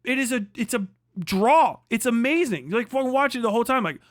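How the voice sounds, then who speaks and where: A man's voice: very high-pitched, lively intonation, fairly quick, dry recording, clean studio sound. A man hosting a livestream, giving an unscripted, opinionated rant in an emphatic, repetitive, heavily profane way. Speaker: livestream host.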